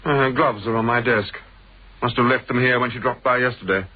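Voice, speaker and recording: An actor playing a curt, controlling husband and factory boss in a radio drama, middle-aged, with a British accent and a clipped, dry delivery. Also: male voice, mid-range voice, steady tone, fairly quick, dry recording, close to an everyday voice. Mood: casual, probing. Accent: British English. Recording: dull, band-limited radio drama sound.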